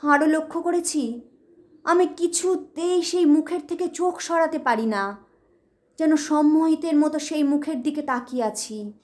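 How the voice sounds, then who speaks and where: A woman's voice: sits high, measured pace, a little mellow. A woman reading a story aloud in a dramatic storytelling style. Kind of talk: dramatic audio story narration.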